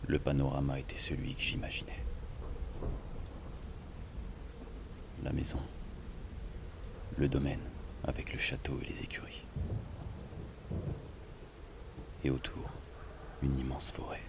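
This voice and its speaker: A man acting a grave, tender companion and narrator in an audio drama, in a breathy, halting near-whisper. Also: a bass voice, monotone, noisy background. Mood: tense, brooding. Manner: slow, halting, solemn. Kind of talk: French thriller audio drama, intimate narration.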